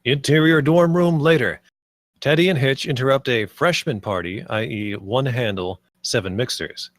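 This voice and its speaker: A man's voice: medium pitch, moderate pace, no room sound, clean studio sound. A man narrating the stage directions of a script at a table read.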